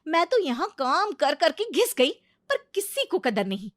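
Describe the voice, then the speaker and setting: A woman voicing an arrogant, lazy young wife in a cartoon, loud and whiny as she complains. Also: high voice, big pitch swings, unhurried, no room sound, studio quality, pitched above the performer's own voice, full character voice. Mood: scornful. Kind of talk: cartoon voice acting.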